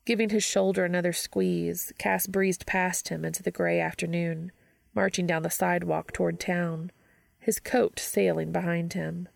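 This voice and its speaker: A woman's voice: on the low side, level tone, moderate pace, gravelly, no room sound, clean studio sound. A woman reading scripted fiction aloud as a narrator.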